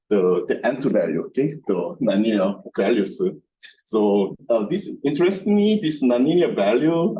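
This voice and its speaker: A man giving an academic lecture as a researcher at a seminar, speaking haltingly and repetitively with non-native English phrasing. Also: medium pitch, low-quality recording. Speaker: researcher presenting at a scientific seminar. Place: seminar room with projection screen. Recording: bright room recording with background noise.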